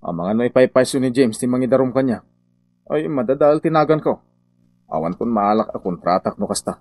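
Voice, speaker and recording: An actor in a radio drama playing an irritable, evasive contractor boss with a blustering, defensive manner. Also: male voice, medium pitch, expressive, a little fast, a little mellow, no room sound. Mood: worried.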